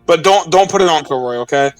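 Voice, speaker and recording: A man's voice: on the high side, quick pace, distant mic, soft background music. A man playing a tabletop roleplaying game, speaking improvised in-character lines earnestly and pleadingly.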